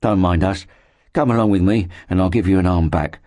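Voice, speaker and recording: A hearty young working-class fellow, acted by a male narrator in a storybook reading. He is pitched well up, chatty and unflappable, speaking in a colloquial, respectful way. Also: a little fast, darkish tone, natural voice. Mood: reassuring, breezy.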